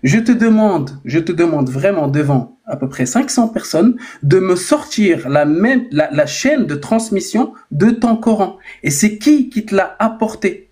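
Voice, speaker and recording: A man's voice: high-pitched, very animated, unhurried, hardly any pauses, a little mellow. A man in a live online debate, pressing his opponent with pointed, repeated questions. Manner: challenging, insistent questioning. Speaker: debate participant.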